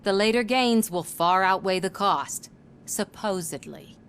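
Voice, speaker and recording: An actress playing a sardonic, determined investigative reporter in a video game, speaking in a wry, pointed way. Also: female voice, medium pitch, a little slow, fairly bright tone, a little hiss or hum. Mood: skeptical, sardonic.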